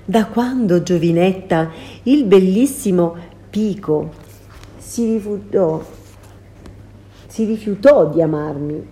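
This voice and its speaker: A woman's voice: medium pitch, slow, dark tone, natural voice. A woman voicing a scheming sorceress in a storybook reading, sweet and mocking by turns. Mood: pleading. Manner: sugary then mocking, cackling. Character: sorceress woman, scheming, cruel then pleading. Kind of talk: storybook character voice.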